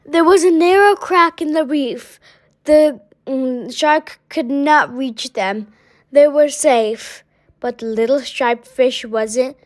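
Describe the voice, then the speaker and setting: Slow, high-voiced woman reading a storybook. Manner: halting, stumbling over words. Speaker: storybook reader. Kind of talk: children's story read-aloud.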